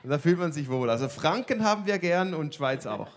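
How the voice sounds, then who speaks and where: A man from Switzerland with a Swiss accent, a guest speaker chatting in a jokey, teasing, halting way. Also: a little high, expressive. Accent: Swiss German. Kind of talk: casual joking stage interview.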